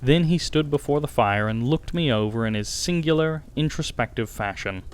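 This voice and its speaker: A man reading scripted literary narration for an audiobook, with measured, dramatic phrasing and pauses. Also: mid-range voice, expressive, smooth.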